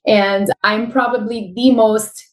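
A woman's voice: a little high, fairly even intonation, slow pace, roomy sound. A woman in her thirties with a Miami accent, a guest telling her story openly in a casual interview. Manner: open, candid storytelling.

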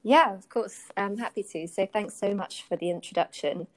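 A woman's voice: mid-range voice, normal speed. A woman with a British accent explaining her professional work in an articulate, conversational way as an interview guest. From United Kingdom. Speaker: podcast guest, workplace strategy consultant.

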